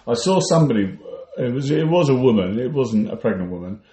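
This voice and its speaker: A man's voice: mid-range voice, animated, fairly quick, mellow. A man chatting casually as a podcast co-host, with a dry, understated manner. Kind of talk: casual chat. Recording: echoey, roomy sound.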